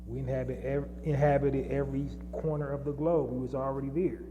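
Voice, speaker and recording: A man's voice: medium pitch, flat delivery, a little fast, over music. A man hosting his own podcast, talking off the cuff in a solo monologue with many fillers and restarts. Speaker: podcast host. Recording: long reverb and faint hum.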